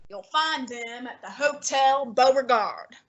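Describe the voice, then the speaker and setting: A fussy, heavyset older detective holds court in an old-time radio drama, played by a woman. He talks down to everyone in slow, high, bright tones, savoring each long word. His manner stays haughty and imperious throughout. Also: lo-fi sound. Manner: haughty, fussy, lofty diction.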